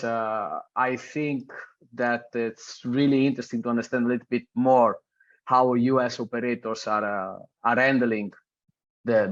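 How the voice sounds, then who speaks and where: An Italian man with an Italian accent, introducing himself carefully as a panelist and searching for words. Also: mid-range voice. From Italy. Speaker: panelist, sales director. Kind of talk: online panel discussion. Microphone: video call. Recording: muffled video call audio.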